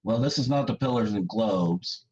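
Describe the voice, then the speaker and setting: A man with a cold, hoarse and losing his voice, chatting matter-of-factly as a guest on a video call. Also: fairly low pitch, normal speed, darkish tone, lo-fi sound. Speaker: guest collector. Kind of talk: casual chat.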